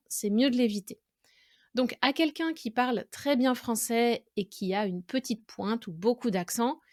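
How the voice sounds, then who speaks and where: A woman's voice: fairly high pitch, dry recording, studio quality. A French woman hosting an educational podcast, talking to the listener directly in a reflective, conversational way. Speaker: podcast host and French teacher.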